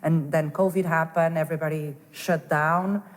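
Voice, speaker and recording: A woman with a slightly low voice speaks in a lively, unplanned way, restarting her sentences and filling pauses with "you know". She sounds like she is in a reverberant hall with a long echo tail. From Portugal. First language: Portuguese. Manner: animated, restarts often, says "you know" a lot. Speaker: guest, angel investor. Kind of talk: casual interview chat. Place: startup hub space.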